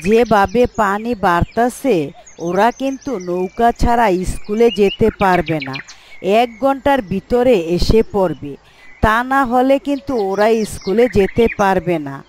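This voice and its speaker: A woman's voice: mid-range voice, animated, measured pace, a little mellow, a little hiss or hum, natural voice. A woman playing a caring, devout fisherman's wife and mother, earnest and coaxing, in a story drama. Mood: worried, earnest. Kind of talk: Bengali Islamic moral story drama acting.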